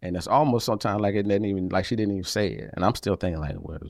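A man with a deep voice that carries, co-hosting a casual chat with his wife, animated and getting louder when excited. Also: very fast, vocal fry, no echo, clean studio sound. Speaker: podcast co-host. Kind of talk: casual married-couple chat.

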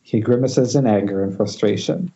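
A man's voice: fairly low pitch, normal speed, gravelly, dark tone. A man narrating screen directions at an informal table read over an internet call, slightly stumbling through a dramatic reading. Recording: dull, noisy internet call.

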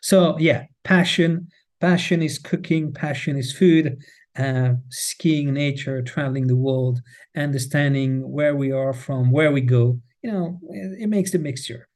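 A man's voice: fairly high pitch, a little slow, a little mellow. A middle-aged Swiss man with a French accent, speaking English as a guest in a podcast interview, often repeating words as he restarts and stressing what he cares about. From Switzerland. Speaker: guest, cheese marketing head. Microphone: remote, on the road.

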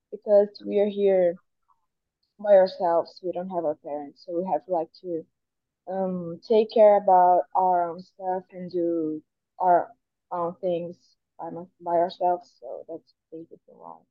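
A woman's voice: fairly low pitch, steady tone, unhurried, dry recording, dull audio. A young woman from Brazil with a Brazilian Portuguese accent, an exchange student giving casual interview answers. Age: teens to young adult.

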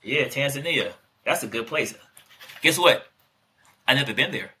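A man's voice: mid-range voice, expressive, normal speed, bright, forceful tone. A man co-hosting a podcast, chatting casually and teasing his guest with jokes. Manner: joking, teasing, playful. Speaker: podcast co-host.

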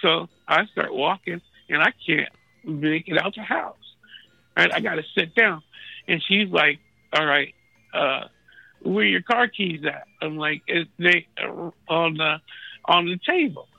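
Raspy, bright-voiced man telling a story. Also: on the high side, expressive, a little slow, no echo, highs cut off. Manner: laid-back, slangy, laughing at his own story. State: thirsty, unfed for a day. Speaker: guest calling in from a hospital bed. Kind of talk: casual storytelling chat. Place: hospital room.

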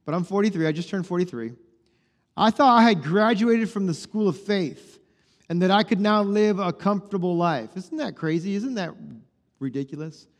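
A man's voice: high voice, animated, moderate pace. Pastor in his forties, echoing hall. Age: 40s. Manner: conversational storytelling, acts out dialogue. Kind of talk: sermon. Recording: long hall reverb.

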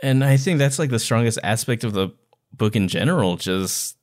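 A man's voice: medium pitch, lively intonation, normal speed, darkish tone. A man co-hosting a podcast, giving his opinions in a casual book discussion. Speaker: podcast co-host.

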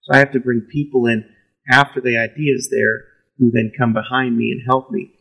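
Man, pastor, raspy, gravelly, conversational storytelling, self-deprecating. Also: mid-range voice, level tone, a little fast, fairly bright tone, rough recording. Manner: self-deprecating, laughs easily.

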